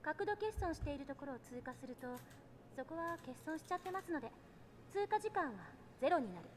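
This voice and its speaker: A self-assured teenage genius girl from a visual novel. She explains things at a racing pace in a high voice, composed and confident. Faint noise sits behind the game audio. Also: very animated, very fast. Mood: lecturing, assured. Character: self-assured eighteen-year-old genius girl lecturing on physics. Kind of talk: Japanese visual novel voice acting.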